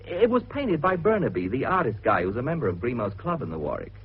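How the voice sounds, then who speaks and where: Young British man, suspenseful storyteller, lively intonation, radio drama, thin band-limited old recording. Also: mid-range voice, fast, dull audio, a little hiss or hum. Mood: bemused. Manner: storytelling, suspenseful, hesitant asides. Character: earnest young man recounting an eerie mystery.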